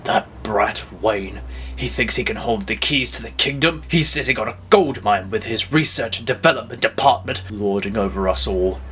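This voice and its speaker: A man's voice: fairly low pitch, moderate pace, bright, forceful tone, a little hiss or hum, voice pushed down. Man acting a cold, domineering businessman father. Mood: bitter. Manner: curt, domineering, scheming. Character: stern, ambitious middle-aged businessman father, cold and bitter. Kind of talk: audio drama acting.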